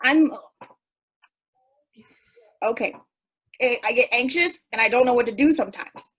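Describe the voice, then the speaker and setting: A woman's voice: fairly high pitch, unhurried, fairly bright tone, breathy edge, low-quality recording. A woman playing an anxious, naive young character who rambles nervously in a staged play reading. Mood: pained, anxious.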